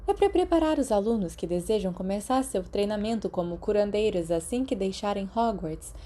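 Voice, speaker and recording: Woman voicing a gentle, mellow Brazilian school nurse. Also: mid-range voice, lively intonation, a little fast, close to an everyday voice. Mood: kindly, matter-of-fact. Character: kindly, brisk older female school nurse. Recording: clearly noisy background.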